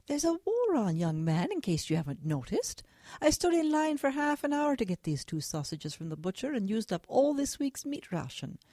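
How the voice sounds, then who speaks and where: A woman's voice: fairly high pitch, very animated, moderate pace, few pauses, warm tone, no room sound, studio quality. Weary, strict wartime mother. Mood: weary scolding. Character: weary, strict but loving wartime English working mother. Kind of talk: radio drama acting.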